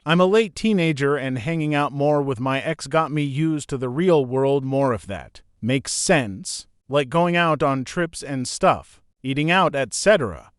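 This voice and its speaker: A man reading forum posts and replies aloud in a steady, even narration. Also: fairly high pitch, no room sound, studio quality. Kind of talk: scripted narration.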